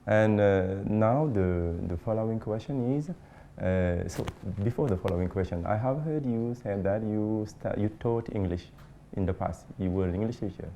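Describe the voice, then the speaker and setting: A man's voice: on the low side, moderate pace, mellow. A man with a Senegalese accent hosting an interview, asking questions hesitantly with restarts and echoing the guest's words. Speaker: interview host. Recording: faint background noise.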